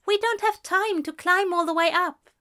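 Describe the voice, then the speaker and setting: A woman's voice: very high-pitched, normal speed, pushed and bright, voice pushed up. A woman voicing a fussy, impatient man with a clipped, huffy manner.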